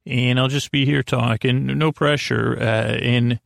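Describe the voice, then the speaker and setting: Creaky, meandering man hosting a sleep podcast. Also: medium pitch, steady tone, no echo, studio quality. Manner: meandering, halting, self-interrupting; goofy, self-deprecating, non-threatening. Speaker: podcast host. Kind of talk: rambling bedtime sleep podcast monologue.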